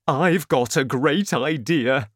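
A woman voicing an excitable, bossy middle-aged father in a children's storybook, exclaiming emphatically and insistently. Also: very deep voice, very slow, no echo, studio quality, exaggerated voice. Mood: excited, insistent.

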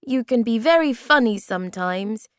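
Lady doing a little storybook creature boy, high n swoopy, playful but kinda whiny. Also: animated, moderate pace, clear, resonant tone, no room sound, clean studio sound, natural voice. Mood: playful, teasing. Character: young male moomin creature, playful, then plaintive. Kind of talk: storybook character voice.

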